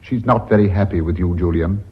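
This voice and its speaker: An actor playing a teasing, assured company director in a radio drama, wry and formal. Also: male voice, deep voice, brisk, breathy edge. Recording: muffled vintage radio drama recording.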